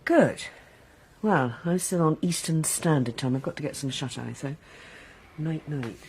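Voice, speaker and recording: An actress playing a brisk, placating middle-aged mother in a radio drama. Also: low-pitched, darkish tone, slight background noise. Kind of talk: naturalistic radio drama dialogue.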